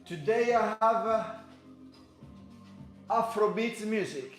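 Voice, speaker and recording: A man with a Spanish accent leads a workout, his voice a bit high for a man and his pace slow as he stops and corrects himself while cheering the viewer on. He is recorded in an echoey room with faint music in the background. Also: lively intonation. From Cuba. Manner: halting, self-correcting, encouraging. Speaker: fitness coach. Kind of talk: workout instruction.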